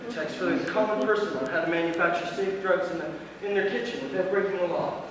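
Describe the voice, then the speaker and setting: An actor on stage playing a smug drug user who lectures pedantically as a self-styled amateur chemist. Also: male voice, high voice, fast talker, natural voice. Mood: self-important. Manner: pompous, pedantic explaining. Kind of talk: live stage play acting. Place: stage of a small theatre. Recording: very echoey hall, far mic, noisy.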